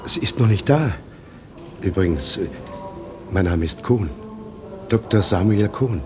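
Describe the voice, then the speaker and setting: A man playing an anxious elderly doctor in a German radio play, halting and insistent in his questioning. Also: on the low side, lively intonation, music in the background. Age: older. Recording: noisy radio play, ambience behind.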